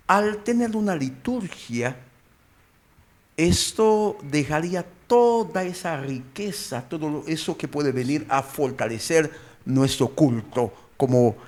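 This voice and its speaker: Male pastor for informal group teaching; slightly high voice, repeats key phrases, questions his listeners. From born and raised outside Mexico, living in Mexico. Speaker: pastor, guest explainer.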